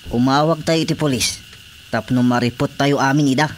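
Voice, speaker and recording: A man's voice: mid-range voice, fairly even intonation, fairly quick, a little hiss or hum, close to an everyday voice. A man playing an eager, sly village informant, a deferential comic underling in a radio drama. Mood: eager, conspiring. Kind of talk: comedic radio drama acting.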